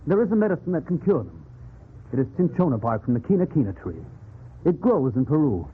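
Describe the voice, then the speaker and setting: An earnest young colonial traveler in an old radio play. He talks fast and eagerly in a high, hot-blooded voice. The sound is thin and tinny, like an old 1940s broadcast. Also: big pitch swings, husky, exaggerated voice. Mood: earnest, pleading.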